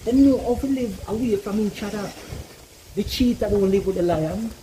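A middle-aged Jamaican man, a guest in an animated outdoor conversation, who talks emphatically and keeps asking "you see it?". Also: sits high, normal speed, a little hiss or hum, rough recording. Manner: emphatic, repeats "you see it?", gestures while talking. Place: open-air pavilion in a garden.

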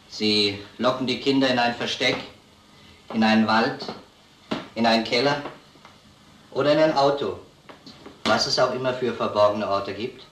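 An actor playing an earnest, methodical police lieutenant, speaking deliberately and plainly in an old crime drama. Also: male voice, medium pitch, a bit bright, lightly acted. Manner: deliberate, plain-spoken, addresses children gravely. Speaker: police investigator. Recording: echoey, noisy old film soundtrack.

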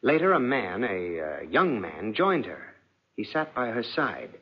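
Casting: a suspicious lordly husband, silkily polite and menacing, husky, in muffled vintage radio drama. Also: male voice, medium pitch, big pitch swings. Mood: veiled menace. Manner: silky, deliberate, insinuating politeness. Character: suave, suspicious, menacing husband, an aristocratic lord. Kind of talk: 1940s radio acting. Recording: dull, muffled 1940s radio recording.

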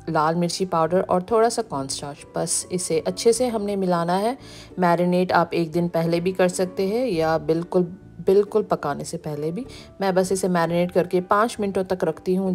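A woman, narrating a cooking video as the channel's host, explaining each step in a relaxed way. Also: on the low side. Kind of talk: recipe voice-over narration. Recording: background music.

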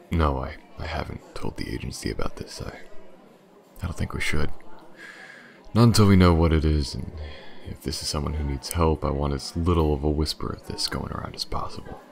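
Man acting as a very deep, gravelly, wary detective. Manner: halting, muttering. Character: gruff, wary, sardonic male police detective. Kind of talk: audio roleplay acting.

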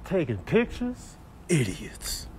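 An American man voicing brash, wisecracking animals in comic video voiceovers, with slangy exclamations and drawn-out words. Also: fairly high pitch, huge pitch swings, pitched below the performer's own voice, heavily acted. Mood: sassy, grumbling.

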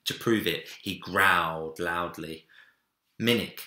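A young man narrating a children's storybook with dramatic, deliberate phrasing in a room indoors. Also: low-pitched, pushed and bright. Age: young adult. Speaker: story reader. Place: small home room, indoors. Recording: roomy echo.

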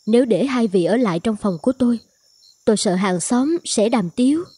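An actress playing a polite, soft-hearted, emotional young woman in an animated cartoon, with earnest delivery. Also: on the high side, a little fast, husky, no echo. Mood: hesitant, kind. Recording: music behind.

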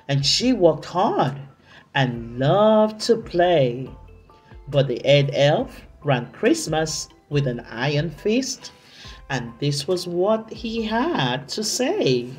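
A woman reading a story aloud for children, deliberately, with dramatic emphasis and drawn-out words. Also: lowish voice, sing-song, a little mellow, faint music behind. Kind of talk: expressive read-aloud narration for children.

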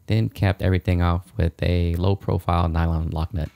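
A man's voice: sits low, flat delivery, normal speed, gravelly, darkish tone, dry recording. A man in his thirties hosting a DIY video, narrating a how-to in a casual, informal, explanatory way.

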